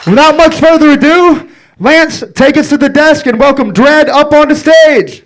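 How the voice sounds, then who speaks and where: A man's voice: very high voice, normal speed, a little hiss or hum. A young man hosting a local talk show from a small bar, cracking crude jokes. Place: small bar venue, indoors.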